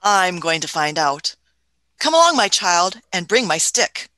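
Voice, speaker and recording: A woman's voice: on the low side, very animated, unhurried, pushed and bright, dry recording, full character voice. A woman playing an elderly, curious, imperious time-travelling scientist in a radio play, hemming and musing as she goes. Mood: pleased. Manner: hemming, musing, punctuating with "hmm" and chuckles.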